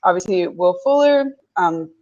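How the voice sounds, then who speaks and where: A woman's voice: lowish voice, moderate pace, muffled recording. Young woman breaking down football. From Canada. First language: French. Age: young adult. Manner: analytical, lively football talk. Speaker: guest sports analyst. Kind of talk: casual interview.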